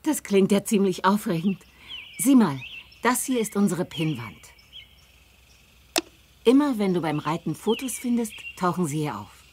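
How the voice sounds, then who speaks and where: A woman's voice: medium pitch, sing-song, a little slow, pauses often, noisy background. A woman voicing a caring, welcoming middle-aged farm mother who explains things in a video game. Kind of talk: scripted video game dialogue.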